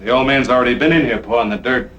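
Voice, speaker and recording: An actor playing a hard, impatient outlaw boss in an old western film, curt and taunting. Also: male voice, mid-range voice, fast, a bit bright, muffled recording, natural voice. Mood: curt, scornful. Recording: noisy old film soundtrack.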